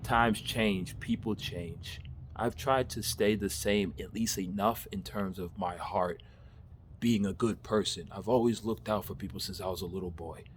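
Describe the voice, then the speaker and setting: Man in his thirties, low voice, casual self-recorded pep talk outdoors, loose and candid. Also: a little slow, a little hiss or hum. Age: 30s. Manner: rambling, candid, laughs at himself, swears casually. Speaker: vlogger. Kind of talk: casual motivational monologue. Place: backyard.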